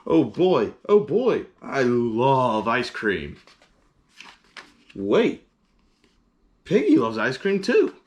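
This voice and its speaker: A man voicing an excitable, childlike elephant from a picture book, exclaiming and agonizing out loud. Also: medium pitch, very slow, long pauses, heavily acted. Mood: delighted. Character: excitable, childlike elephant torn over sharing his ice cream.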